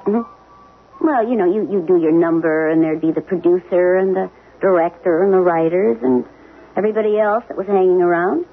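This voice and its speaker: Frank middle-aged wife, thin radio sound. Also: female voice, fairly low pitch, moderate pace. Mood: wry, confiding. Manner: wry, plain-spoken, emphatic. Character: frank, wounded middle-aged wife, former chorus girl. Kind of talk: 1970s radio drama acting. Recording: lo-fi phone-like old radio broadcast, music behind.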